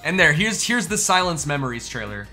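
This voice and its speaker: A young man giving casual reaction commentary as a gaming YouTuber, informal and slangy, thinking out loud as he goes. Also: a little high, sing-song, a little fast, faint music behind.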